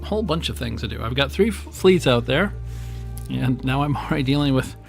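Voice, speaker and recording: A man with a weak, strained voice chats loosely while he live-streams a strategy game, rambling and restarting his sentences. He speaks into a boom mic at his home desk. Faint game music runs underneath. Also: expressive, brisk, creaky. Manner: chatty and rambling, with many fillers and restarts. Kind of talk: casual live-stream commentary while playing. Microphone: desk microphone on a boom arm.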